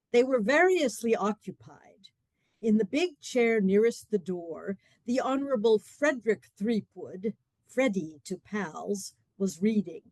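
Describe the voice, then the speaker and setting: A woman's voice: mid-range voice, a little mellow, no room sound. Dry-recorded female story narrator.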